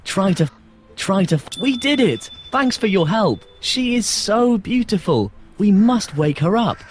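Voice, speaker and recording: A man's voice: sits high, lively intonation, a little slow. A man playing an earnest, eager young prince in a children's fairy tale. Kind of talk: children's storybook acting.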